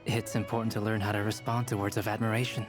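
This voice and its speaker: An actor playing a composed young alchemist in a video game, formal, measured and gently instructive. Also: male voice, on the low side, level tone, lightly acted. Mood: calm, gracious. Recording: game music behind.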